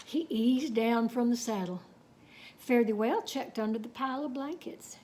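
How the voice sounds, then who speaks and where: A woman reading a children's story aloud in an expressive, folksy, dramatic way with chatty asides. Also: on the high side, moderate pace.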